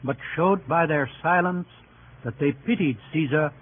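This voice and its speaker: A man's voice: fairly high pitch, a little slow, close to an everyday voice. A man narrating Roman history soberly as the scripted narrator of a radio drama. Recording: tinny old radio recording, faint noise.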